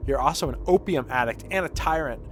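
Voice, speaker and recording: Prickly, taunting game woman, acted out by a man, expressive delivery, animated pitch. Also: fairly quick, faint music behind. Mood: accusing. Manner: snappish, taunting. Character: guarded, prickly woman, mocking and candy-obsessed. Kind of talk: video game dialogue read aloud.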